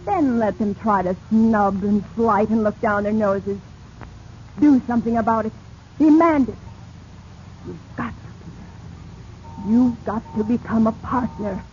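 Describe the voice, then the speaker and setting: A woman's voice: on the high side, unhurried, pauses often, darkish tone, natural voice. Woman acting scornful, nagging 1940s radio wife. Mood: goading, insistent. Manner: scornful, insistent, clipped theatrical delivery. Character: ambitious, resentful, nagging wife demanding status. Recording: muffled, noisy 1940s radio recording.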